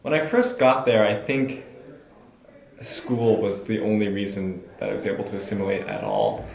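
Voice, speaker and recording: A man's voice: lowish voice, moderate pace. Thoughtful young man, echoey room. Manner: thoughtful, stumbling restarts. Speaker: interviewee.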